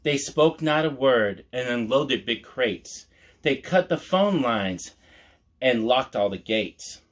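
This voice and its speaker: Slow, animated man reading a storybook aloud. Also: medium pitch. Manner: measured, mock-earnest children's storyteller. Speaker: storytime host reading a picture book aloud. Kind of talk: storybook read-aloud with asides to the listener.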